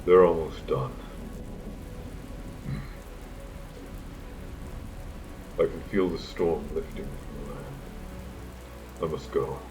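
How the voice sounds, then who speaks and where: Guy acting as mournful bitter god, real deep n slow, solemn drama delivery. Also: fairly even intonation, a little mellow, slight background noise, rough recording. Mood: grave, resigned. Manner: solemn, measured, grave. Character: grieving, embittered god of seasons, mourning his daughter.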